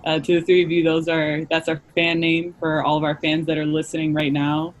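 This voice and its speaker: A man's voice: a little high, monotone, a little fast, slight background noise. A young man with a Midwestern American accent hosting a podcast in a casual, joking manner. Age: young adult. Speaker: podcast host.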